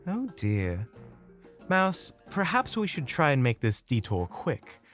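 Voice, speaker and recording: A man playing a mild, unhurried, food-loving companion with a dry manner in audio drama banter. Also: mid-range voice, wildly swooping pitch, fairly bright tone, faint music behind, heavily acted. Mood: mildly concerned.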